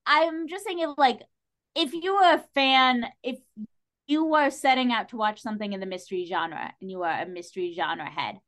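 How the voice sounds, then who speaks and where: Female guest, higher-pitched voice, casual and opinionated conversational delivery. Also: normal speed, a bit bright. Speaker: podcast guest.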